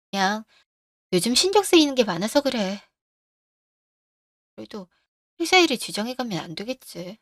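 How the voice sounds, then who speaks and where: A woman's voice: a little high, dry recording, clean studio sound. A woman voicing a scheming middle-aged husband who brushes off his wife's worries, in a radio drama. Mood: boss-like.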